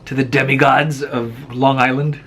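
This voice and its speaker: A man chatting casually about books in a slangy, wry, halting way, often doubling back on his words. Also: medium pitch, flat delivery, background noise. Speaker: co-host of a book vlog. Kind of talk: casual book chat.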